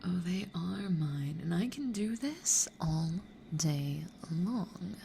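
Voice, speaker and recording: A woman acts out a devious succubus for an ASMR roleplay. She is possessive and teasing, slipping into whispers, with a slow, low-pitched delivery over clear background noise. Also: lively intonation, warm tone, heavily acted.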